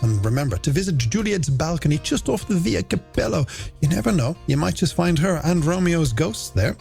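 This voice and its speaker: A man's voice: fairly high pitch, animated, moderate pace, creaky, darkish tone. An Irish man hosting a late-night radio music show, presenting with a playful, theatrical and polished delivery. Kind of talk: radio DJ presenting, game segments and shout-outs. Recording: music bed under the voice.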